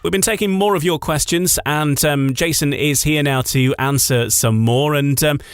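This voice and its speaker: A man's voice: a little high, normal speed, clean tone, no echo. Male radio host, dry sound. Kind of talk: radio interview presenting.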